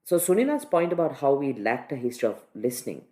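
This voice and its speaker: A woman's voice: a bass voice, very animated, fast, mellow. A woman from Sri Lanka giving reflective, conversational commentary as a podcast panelist.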